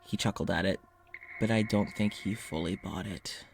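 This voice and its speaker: A man's voice: lowish voice, fairly even intonation, moderate pace, creaky, slight background noise. A man playing an anxious, self-doubting scientist in a confessional audio drama monologue, speaking hesitantly with sighs and self-questioning. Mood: conflicted, wistful. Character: anxious, self-doubting male scientist who studies creatures, secretly undying. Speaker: lead voice actor in a fiction podcast. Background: music.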